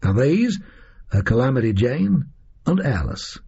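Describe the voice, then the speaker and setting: A male reader voicing a haughty, analytical Victorian gentleman detective in an audio story, speaking formally and precisely. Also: medium pitch, sing-song, measured pace, vocal fry, slightly rough, dull audio, pitched above the performer's own voice, full character voice. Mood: dry, curious.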